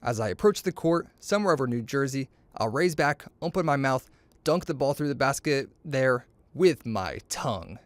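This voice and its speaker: A man reading a children's story aloud, acting out the dialogue in an expressive, playful, boastful way. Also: medium pitch, moderate pace, no echo.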